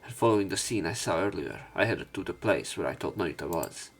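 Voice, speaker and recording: A man's voice: deep voice, expressive, brisk, gravelly. A man reading visual novel narration aloud for a let's play.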